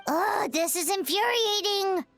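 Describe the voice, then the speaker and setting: Tiny childlike fairy, very high and squeaky, animated swings, peppy, dramatic, American accent, video game. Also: female voice, measured pace, faint music behind, full character voice. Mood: frustrated.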